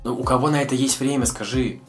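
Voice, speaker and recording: A young man on a casual podcast, lecturing and provoking his co-host with quick, blunt arguments. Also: mid-range voice, fairly even intonation, music in the background.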